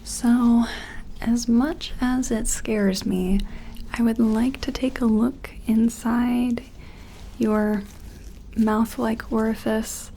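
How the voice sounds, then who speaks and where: A woman playing a timid, awed scientist in a sci-fi ASMR roleplay, whispering and murmuring gently with hesitant, musing phrasing. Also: a little high, fairly even intonation, extremely slow, a little hiss or hum. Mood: cautious, nervous. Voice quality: breathy whisper mixed with soft, creaky murmur.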